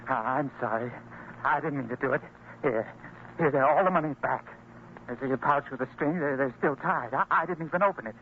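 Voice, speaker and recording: A man's voice: mid-range voice, fairly quick, natural voice. A man acting a meek, servile, stammering pickpocket in an old-time radio drama. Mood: frightened, pleading. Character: cowering, pleading male pickpocket, meek and apologetic. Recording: tinny, noisy old radio recording.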